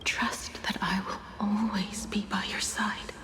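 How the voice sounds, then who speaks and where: An actress playing a gentle, comforting young woman in a game, speaking softly and reassuringly. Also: mid-range voice, little pitch movement, measured pace, echoey room, in a whisper, lightly acted. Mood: soothing. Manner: soft and reassuring.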